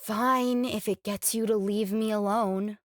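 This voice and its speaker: A woman's voice: mid-range voice, steady tone, unhurried, no echo, lightly acted. A woman playing a weary, determined young female climber in a family audio drama, blunt, dry and guarded. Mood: irritated, skeptical. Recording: clear background noise, ambience.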